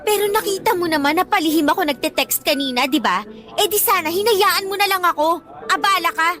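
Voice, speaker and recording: A high-voiced, bratty teenage schoolgirl. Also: brisk, pushed and bright. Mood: irritated, defiant. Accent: Filipino. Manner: bratty, defiant, sneering. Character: insolent, spoiled teenage schoolgirl talking back. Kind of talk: Tagalog radio drama acting.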